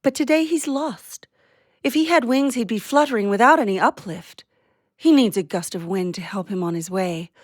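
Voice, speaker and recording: An actress playing a small, proud, watchful songbird narrating in an audio play, describing things with simple wonder. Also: female voice, mid-range voice, lively intonation, moderate pace, no room sound, clean studio sound, close to an everyday voice. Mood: concerned. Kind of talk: audio drama monologue.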